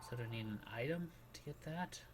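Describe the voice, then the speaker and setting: Man commentating over loud music. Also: medium pitch, fast talker. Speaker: host of a gaming channel. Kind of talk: casual gameplay commentary.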